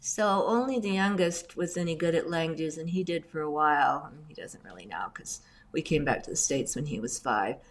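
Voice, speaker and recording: A middle-aged woman, raised in Indonesia, speaks in a low voice. She tells her stories in a chatty, animated way and fills them with asides as an interview guest. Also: moderate pace, hardly any pauses. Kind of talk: casual storytelling interview.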